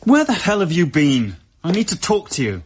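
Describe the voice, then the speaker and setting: Husky, very animated, indignant and accusatory, possessive musician, radio drama. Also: male voice, on the high side, moderate pace, heavily acted. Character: Tom, aggrieved, possessive busking classical musician.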